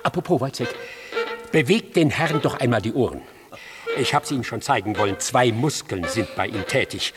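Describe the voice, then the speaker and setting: An actor playing a pompous, cruel doctor in a radio drama, lecturing students in a declamatory, mocking manner. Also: male voice, medium pitch, lively intonation, moderate pace, music in the background, close to an everyday voice. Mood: condescending.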